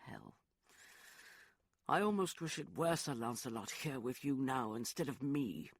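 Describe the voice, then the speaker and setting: A man voicing a remorseful young gentleman in an audiobook, soft, hesitant and apologetic. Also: mid-range voice, expressive, normal speed, breathy edge, dry recording. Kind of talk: audiobook character voice.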